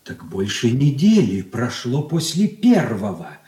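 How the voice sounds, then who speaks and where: An actor playing a petty, self-important son-in-law in a radio drama, fussy, pompous and querulous. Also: male voice, medium pitch, very animated, a little slow, warm tone. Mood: aggrieved. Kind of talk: Soviet radio drama stage acting. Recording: echoey studio, distant from mic.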